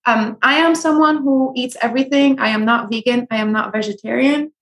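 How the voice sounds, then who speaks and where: A young woman from Syria, a food blogger, giving earnest advice in a relaxed interview with frequent ums and restarts. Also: a little high, fairly quick. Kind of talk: conversational interview.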